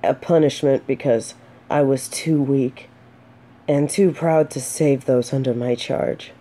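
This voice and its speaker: A woman's voice: a bass voice, fairly even intonation, slow, warm tone, exaggerated voice. A woman playing an earnest, remorseful exiled female knight in a romantic fantasy audio roleplay, speaking solemnly and faltering when flustered. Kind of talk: scripted romantic audio roleplay, addressing the listener.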